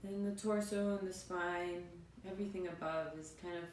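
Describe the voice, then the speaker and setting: Woman meditation guide, soothing and spacious phrasing; roomy, echoing recording with faint background rumble. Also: fairly even intonation. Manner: soothing, unhurried phrases with pauses. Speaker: yoga and meditation teacher. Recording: roomy, distant mic, faint noisy rumble.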